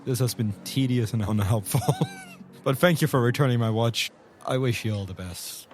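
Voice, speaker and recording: A man's voice: medium pitch, normal speed, faint music behind, lightly acted. A man playing a polite, persistent investigator with a hesitant, dry manner in an improvised tabletop game. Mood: insistent, dry. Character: polite, persistent male investigator named Dolan.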